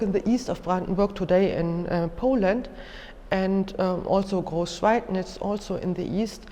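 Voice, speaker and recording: Woman lecturer, German accent, slow halting delivery, careful explanations, long hall reverb. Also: mid-range voice, dark tone. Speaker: museum lecturer. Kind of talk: educational lecture, unscripted explanation.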